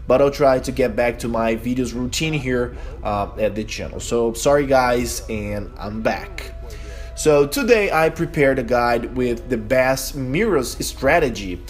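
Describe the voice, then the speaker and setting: A Brazilian-accented man whose first language is Portuguese talks through a game guide at an unhurried pace. His pitch moves up and down a lot as he explains tactics, and his phrasing is careful and earnest. Soft music plays underneath. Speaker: channel host, game guide. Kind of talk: gameplay tutorial voice-over.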